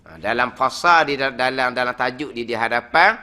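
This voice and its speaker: A man, a religious teacher giving a weekly study lecture in a prayer hall, talking in a lively, conversational way with frequent "ha" fillers. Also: mid-range voice, normal speed, smooth.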